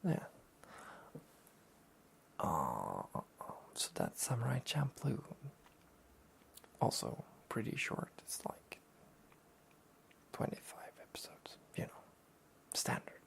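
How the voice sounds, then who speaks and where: A soft-spoken man hosting a relaxed review show, often near a whisper, rambling and hesitant in an understated way. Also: medium pitch, takes it slowly, creaky, pauses often. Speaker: host of a soft-spoken anime talk show.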